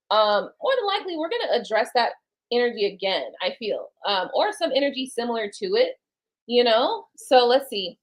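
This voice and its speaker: A woman reading tarot cards on a casual live stream, rambling and chatting to her viewers and to spirit. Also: a little high. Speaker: tarot card reader.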